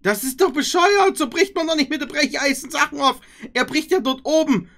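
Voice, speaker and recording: A man's voice: extremely high pitch, a little fast, gravelly, a bit bright. A young man giving casual, rambling, mocking commentary as a reaction video host. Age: young adult. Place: decorated room at home.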